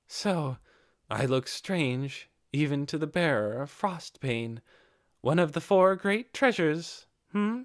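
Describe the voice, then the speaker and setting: A man voicing a cynical, composed young female lizardfolk chief in an audiobook, speaking politely and in a measured, slightly wry way. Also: on the high side, lively intonation, no room sound, studio quality, voice pushed up.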